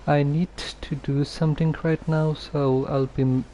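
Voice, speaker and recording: Male streamer, subdued dark tone, unhurried and hesitant, some background noise. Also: on the high side, little pitch movement, dull audio. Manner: halting, hesitant. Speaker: game livestreamer. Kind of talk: casual livestream chat while playing.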